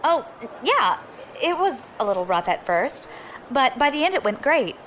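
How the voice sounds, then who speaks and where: A woman's voice: sits high, sing-song, normal speed, fairly bright tone, slight background noise, low-quality recording. A woman playing a plucky, friendly young adventurer in an audio drama, with a casual, informal manner. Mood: affectionate.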